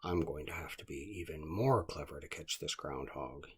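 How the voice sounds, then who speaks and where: Man as a husky, deep storybook wolf. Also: normal speed, exaggerated voice. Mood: scheming. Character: scheming, hungry male wolf, storybook villain.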